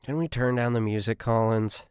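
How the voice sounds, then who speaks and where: A man's voice: mid-range voice, moderate pace, darkish tone, clear, resonant tone, no echo, studio quality, natural voice. A man voicing a polite, weary female scientist in a military audiobook. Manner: polite, restrained.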